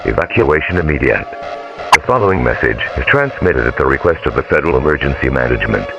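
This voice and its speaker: A man reading an emergency evacuation bulletin in a measured, official tone. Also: extremely low pitch. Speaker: emergency alert announcer. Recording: dull lo-fi audio with music.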